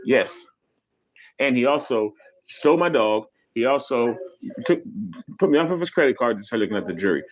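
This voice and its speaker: A young man ranting on a live stream in a casual, profane way. His pitch sits mid-range, and he jumps into high, mocking voices when he imitates people. He talks animated and blunt, with loose restarts. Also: moderate pace, long pauses, rough recording.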